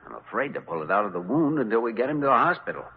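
Give me a calm radio-drama doctor, lively intonation. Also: male voice, fairly low pitch, racing. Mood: grave, clinical. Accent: American. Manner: reassuring, decisive. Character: calm, kindly young male hospital doctor at a rescue. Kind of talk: old-time American radio drama acting. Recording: noisy, muffled old radio recording with clicks.

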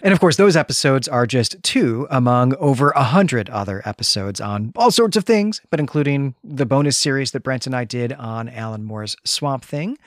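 A man's voice: mid-range voice, expressive, moderate pace, few pauses, dry recording, clean studio sound. A man co-hosting a literary podcast, speaking in an articulate, reflective and appreciative way. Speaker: podcast co-host. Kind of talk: literary discussion podcast, casual analytical chat.

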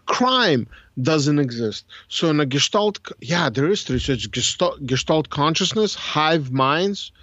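Rambling man with a slightly higher voice. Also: animated, unhurried, few pauses, a bit bright, no room sound, highs cut off. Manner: rambling, thinks aloud with many self-corrections. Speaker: podcast co-host. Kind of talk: casual podcast chat about strategy games.

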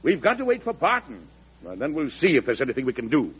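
Husky, animated old-radio president. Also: male voice, medium pitch, quick pace, full character voice. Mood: resolute. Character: aging, firm, proud American president, calm under threat. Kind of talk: old-time radio drama acting. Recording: dull, lo-fi old radio recording.

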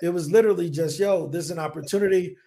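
A man in his forties from the United States, a business owner telling stories on a podcast in an energetic, casual way, acting out his own past thoughts. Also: high voice, quick pace, creaky. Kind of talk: casual interview talk. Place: home in Colombia.

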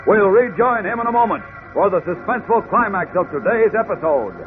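A man's voice: sits high, expressive, brisk. A man narrating a radio serial in a dramatic, urgent, suspenseful 1940s announcer style. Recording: tinny old radio, loud music behind. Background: loud music.

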